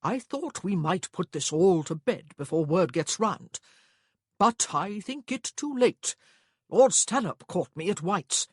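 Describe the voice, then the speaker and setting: Woman putting on a stout fussy gentleman brother, blustery and emphatic, husky, swoopy, storybook voice. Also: lowish voice, lively intonation, slow pace, no room sound, studio quality, heavily acted. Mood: anxious, earnest. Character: fussy, anxious, blustering upper-class brother, stout man.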